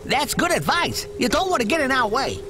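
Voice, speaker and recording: Wildly animated feisty gang android. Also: female voice, sits high, brisk, noisy background. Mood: goading, hostile. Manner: goading, foul-mouthed street slang. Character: feisty, excitable female android gang member. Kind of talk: video game dialogue. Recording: music behind the voice.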